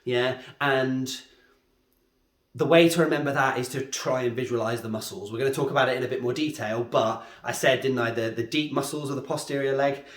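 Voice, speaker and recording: A man's voice: mid-range voice, steady tone, a little fast. A man with a head cold, teaching anatomy at a board and often checking in with a questioning "yeah?". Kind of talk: whiteboard anatomy lesson. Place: room with a drawing board. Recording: echoey room.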